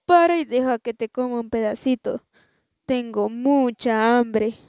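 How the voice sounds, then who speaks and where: A woman voicing a hungry old man in a storybook, speaking slowly and lower than her narration. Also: a little mellow, smooth, no room sound, studio quality, close to an everyday voice. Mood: pleading. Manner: slow and low.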